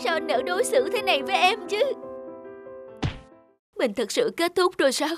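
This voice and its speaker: A woman's voice: very high-pitched, lively intonation, moderate pace. A woman playing an earnest, heartbroken young woman in a cartoon, speaking her thoughts with emotion. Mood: pleading, despairing. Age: young adult. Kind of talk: cartoon dialogue and inner monologue. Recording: music under the voice. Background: music.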